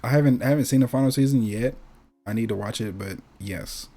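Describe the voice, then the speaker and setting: A man's voice: mid-range voice, a little fast, mellow, faint music behind. A man hosting a gaming talk show, chatting casually in an emphatic, opinionated, slangy way with plenty of swearing. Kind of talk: casual chat about video game movies and anime.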